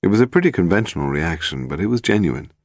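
British man, low-pitched, expressive intonation, scripted novel narration, dry studio sound. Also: quick pace, dry recording. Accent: British English. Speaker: audiobook narrator.

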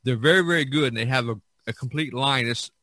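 A man's voice: medium pitch, quick pace, sharp tone, no echo. A man chatting casually as a podcast host, reminiscing in a rambling way that repeats and circles back.

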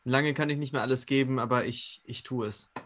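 Halting male role-player, mid-pitched. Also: steady tone, a bit bright, slight background noise. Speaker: player in a role-playing game. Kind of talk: casual in-character role-play description. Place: room at home, online video call.

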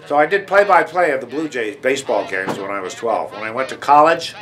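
A man's voice: medium pitch, expressive, a little fast, fairly bright tone, distant mic. An older man reminiscing in an interview.

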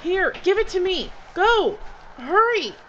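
A woman's voice: very high-pitched, big pitch swings, takes it slowly, full character voice. An actress playing a decisive, level-headed woman who takes charge in an audio drama, with a dry, bossy manner. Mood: urgent. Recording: dull lo-fi audio with clear noise.

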